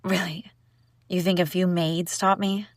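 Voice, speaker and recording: A woman playing a sharp-tongued young princess bride who is secretly lovesick, in an intimate audio roleplay, teasing and indignant with sighs and broken-off phrases. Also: fairly low pitch, level tone, measured pace, no room sound, clean studio sound. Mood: indignant, proud. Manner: teasing, indignant, sighing, breaking off mid-sentence. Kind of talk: intimate scripted audio roleplay addressed to listener.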